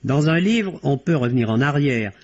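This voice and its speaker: Man, film critic, scripted review, articulate, rough-edged voice, muffled vintage broadcast sound. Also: mid-range voice, animated, moderate pace. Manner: articulate, formal, literary phrasing. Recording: dull, band-limited vintage radio recording.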